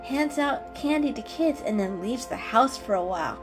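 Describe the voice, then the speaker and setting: Woman as female calico cat, kind, knowing, patient explainer, storybook character, animated intonation, soft music behind. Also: a little high, moderate pace, lightly acted. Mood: kindly, cheerful.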